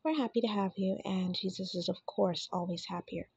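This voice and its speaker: Woman, raspy, under the weather, husky, earnest casual preaching, dry dull recording. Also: medium pitch, fairly even intonation, fairly quick, darkish tone, no echo. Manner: earnest, direct, uses casual slang. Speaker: prophetic minister. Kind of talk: casual prophetic message.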